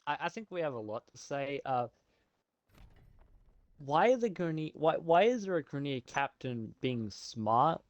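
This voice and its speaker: Higher-voiced man, halting, on dull mic. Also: animated, no room sound. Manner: halting, repeats words emphatically. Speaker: co-commentator. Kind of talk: casual joking chat. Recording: dull lo-fi microphone sound.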